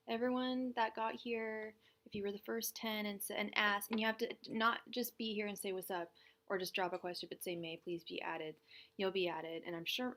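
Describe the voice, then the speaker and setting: This is a young woman hosting a casual tarot reading livestream. Her voice sits slightly high and has a gravelly fry to it. She talks fast and chatty, with frequent laughs and greetings to her viewers. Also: a bit bright.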